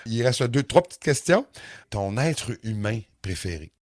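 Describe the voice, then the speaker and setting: A man's voice: medium pitch, big pitch swings, unhurried, darkish tone, dry recording, studio quality. A man from Montreal with a Quebec French accent, hosting a podcast in casual interview chat. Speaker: podcast host.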